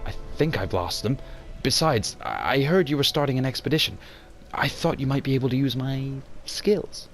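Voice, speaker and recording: A man voicing a cocky, jittery young male hacker on the run, talking fast and stumbling over his words. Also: medium pitch, lively intonation, soft background music, close to an everyday voice. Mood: eager. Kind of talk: amateur motion comic voice acting.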